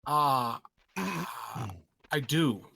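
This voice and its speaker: A man's voice: mid-range voice, big pitch swings, very slow, gravelly, bright, forceful tone, breathy edge, no echo. A man chatting casually as a player in a tabletop game, swearing now and then.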